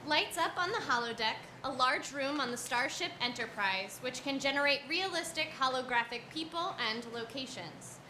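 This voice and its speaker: A woman's voice: sits high, animated, normal speed, few pauses, pushed and bright, roomy sound, a little hiss or hum. A woman reading stage directions as scripted narration at a live staged reading of a comedy musical. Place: live stage at a theater festival.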